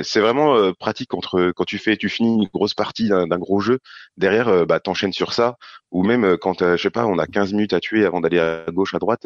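Hesitant male guest, low voice, fast delivery, dull lo-fi audio. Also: no room sound. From France. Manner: hesitant, many fillers and restarts. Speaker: podcast guest. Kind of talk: casual chat about board games.

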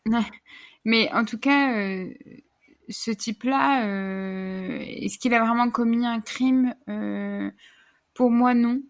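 A woman in a casual podcast discussion, thoughtful and halting, often searching for her words. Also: on the high side, fairly even intonation. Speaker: podcast co-host.

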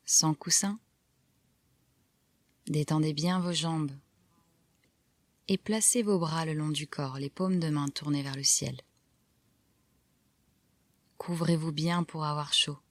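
A woman guiding a relaxation meditation, giving calm, soft, measured instructions with pauses between phrases. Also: low-pitched, no room sound. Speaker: yoga nidra guide. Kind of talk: guided relaxation meditation narration.

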